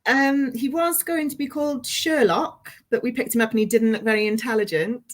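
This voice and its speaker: A woman's voice: a little high, steady tone, fairly quick. A woman, a guest author chatting casually and playfully in an interview, with a self-deprecating, jokey manner.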